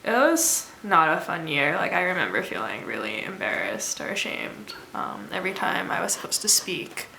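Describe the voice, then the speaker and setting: Soft-spoken young woman, roomy echo. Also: fairly low pitch, big pitch swings, moderate pace, gravelly, few pauses, a little hiss or hum. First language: Mandarin. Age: young adult. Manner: soft-spoken, hesitant, many fillers. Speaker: college student. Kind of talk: documentary interview.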